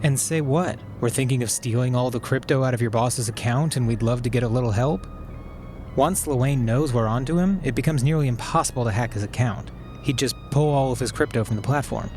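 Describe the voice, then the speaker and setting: An actor playing a cautious, anxious amateur hacker in a naturalistic podcast drama, hesitant and defensive. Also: male voice, mid-range voice, a little fast, a little mellow, soft background music, lightly acted. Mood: worried. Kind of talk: naturalistic fiction podcast acting.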